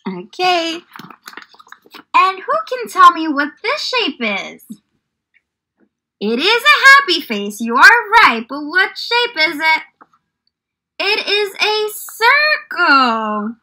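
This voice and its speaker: A woman's voice: extremely high pitch, wildly swooping pitch, slow pace, long pauses, bright, forceful tone, poor audio. A young woman teaching small children in an encouraging, exaggerated child-directed way, full of questions. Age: young adult. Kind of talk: children's lesson and read-aloud. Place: small indoor room.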